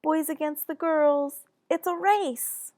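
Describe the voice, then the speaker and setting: A woman's voice: sits high, takes it slowly, a little mellow, voice pushed up. A woman voicing a teasing, grumbling young boy, the older brother in a storybook, blunt and taunting. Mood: eager.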